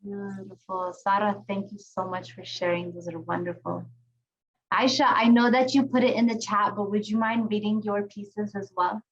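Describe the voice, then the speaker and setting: A woman's voice: medium pitch, flat delivery, normal speed, highs cut off, a little hiss or hum. A woman facilitating an online youth check-in, affectionate and encouraging, praising each young speaker in turn. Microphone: video call.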